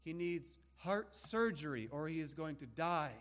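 A man preaching a sermon, slow and emphatic, building his points through rhetorical questions. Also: a little high, animated, a bit bright, long reverb tail.

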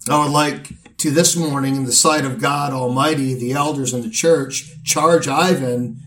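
Hoarse, gravelly-voiced male pastor preaching. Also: medium pitch, steady tone, a bit bright. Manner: earnest, measured preaching with pauses. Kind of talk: sermon. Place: church sanctuary. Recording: roomy sound from a distant mic.

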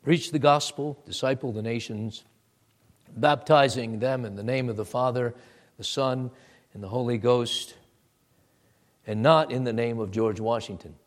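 A man preaching a sermon and leading a prayer, moving from quiet low asides to loud, high exhortation. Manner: deliberate, drawn-out preaching delivery. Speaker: pastor.

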